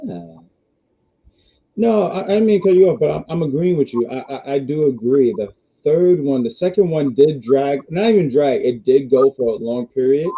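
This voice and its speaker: A man hosting a casual wrestling review stream, rambling with restarts and reading viewer chats, his voice going hoarse. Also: a little high, animated, moderate pace, phone-quality sound. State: losing his voice. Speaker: wrestling review show host.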